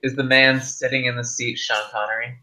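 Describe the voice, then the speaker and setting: A man's voice: medium pitch, little pitch movement, fairly quick, sharp tone, slight background noise, rough recording. A man playing a tabletop game, chatting casually with the others. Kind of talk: casual chat.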